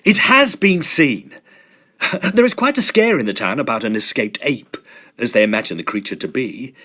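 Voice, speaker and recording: A man playing a determined, agitated young gentleman in a Victorian horror drama, speaking earnestly and emphatically in formal phrases. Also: medium pitch, big pitch swings, moderate pace. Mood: agitated, insistent.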